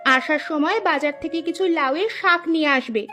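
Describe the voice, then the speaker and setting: A very high-voiced village wife from a cartoon, acted by a woman, bossy and touchy. She scolds and insists in an indignant, demanding way. Clear music plays behind her voice. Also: normal speed, sharp tone. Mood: scolding, demanding. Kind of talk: Bangla cartoon voice acting.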